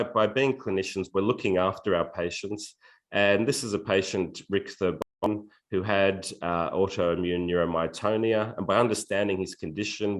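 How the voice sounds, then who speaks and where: A man, a neurology professor, giving a measured lecture with slides, telling anecdotes with frequent fillers. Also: deep voice. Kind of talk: academic talk with slides.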